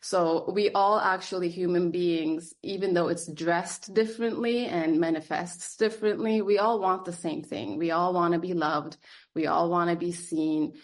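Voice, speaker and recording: A woman's voice: fairly low pitch, fairly even intonation, moderate pace. A woman psychotherapist raised in Germany and Turkey, whose first language is Turkish, answering interview questions thoughtfully with frequent fillers and restarts. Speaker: psychotherapist guest.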